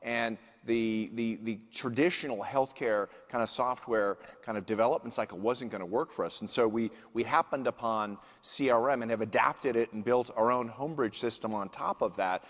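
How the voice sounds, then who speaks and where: A man's voice: mid-range voice, moderate pace, few pauses, fairly bright tone. A male chief executive answering questions on a conference panel, earnest, often restarting his phrases. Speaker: CEO guest speaker. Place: conference stage in a large hall. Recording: long hall reverb.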